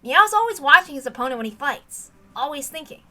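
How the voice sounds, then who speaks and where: Self-assured young genius fighter a woman acts him, good-humored and casual, high bright voice, for story drama. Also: animated, fairly quick, heavily acted. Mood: fond, reflective.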